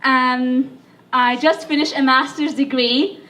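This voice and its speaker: Young woman from Libya, a bit under the weather, chatty talk with fillers, high voice, distant mic. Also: steady tone, takes it slowly, bright, forceful tone. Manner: chatty, self-deprecating, with fillers. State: sick. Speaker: speaker. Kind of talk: speech to an audience. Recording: voice from far off the mic.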